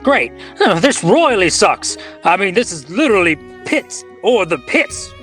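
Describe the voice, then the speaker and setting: A man's voice: high-pitched, big pitch swings, measured pace, fairly bright tone, soft background music, heavily acted. A man playing a flustered, self-pitying everyman husband in a comic radio play, whiny and exasperated. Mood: fed up.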